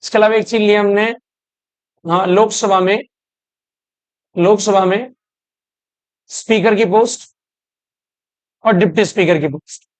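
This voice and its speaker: Exam-coaching teacher, mid-pitched, dry close-miked delivery, quizzes the class rhetorically and repeats terms for memorizing. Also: fairly even intonation, a little slow, long pauses, dry recording, poor audio. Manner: asks and answers own questions; drills key terms word by word. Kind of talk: exam-prep lecture.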